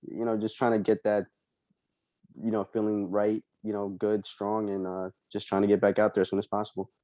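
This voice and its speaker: A young man from El Salvador, a fighter being interviewed, answering casually and haltingly with many ums and uhs. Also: on the low side, little pitch movement, dark tone, no echo. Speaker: MMA fighter guest.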